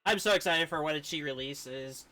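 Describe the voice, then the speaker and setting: A man casually commentating on a video game as he streams, reacting aloud and excitably over game music. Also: fairly high pitch, moderate pace. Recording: music behind the voice.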